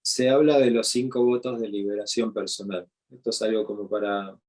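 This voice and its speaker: A man with an Argentine Spanish accent leads a meditation class and explains his ideas. His voice sits fairly low. He talks at a slow, halting pace, full of pauses, fillers and restarts. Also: steady tone, a little mellow. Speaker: meditation teacher. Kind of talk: unscripted teaching talk.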